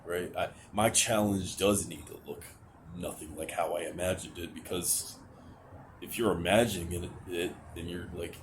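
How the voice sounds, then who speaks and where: A young man with a very low, deep voice talks straight to his camera, hesitant and rambling. He sounds anxious and sighs often, with casual swearing. A steady hiss sits under the recording. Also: normal speed. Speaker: vlogger. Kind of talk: candid venting to camera. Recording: noticeable background hiss.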